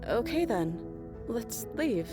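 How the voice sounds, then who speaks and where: A woman playing a sincere, wry young princess in a video game, talking in a soft, conversational way. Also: on the high side, sing-song, slow pace, over music. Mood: uncertain. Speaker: game character.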